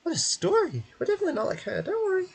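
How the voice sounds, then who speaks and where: Squeaky chirpy little companion. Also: male voice, huge pitch swings, very fast, vocal fry, heavily acted. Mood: chirpy, eager. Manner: chirpy, exclamatory. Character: small childlike high-voiced companion, eager and chirpy. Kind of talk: game character voice acting.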